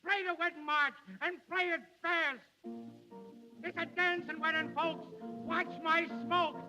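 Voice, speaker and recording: Very high, brash jilted showman. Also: fairly even intonation, a little slow, poor audio. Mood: forced gaiety, bitter. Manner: insistent, showy, rhetorical. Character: brash jilted showman putting on defiant gaiety. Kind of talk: 1930s radio drama acting. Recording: old 1930s radio broadcast with music.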